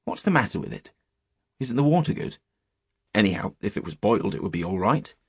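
A man's voice: fairly low pitch, very animated, a little fast, husky, no echo, studio quality, full character voice. Man playing a brash, scornful British schoolboy. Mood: rude. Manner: brash, dismissive. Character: rude, scornful, cocky schoolboy Scout. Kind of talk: storybook character voice.

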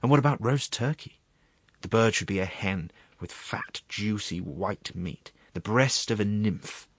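A man's voice: on the low side, a little slow, breathy edge, no echo. A man voicing a wheedling, gluttonous court secretary in a storybook reading, savouring his words in a confiding, coaxing way.

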